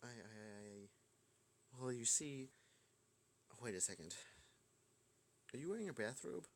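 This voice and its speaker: A man's voice: mid-range voice, very animated, unhurried, slight background noise. A man playing a flustered, wisecracking teenage schoolboy in a visual novel, hesitant and stammering with a sarcastic edge. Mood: flustered, wry. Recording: music behind the voice.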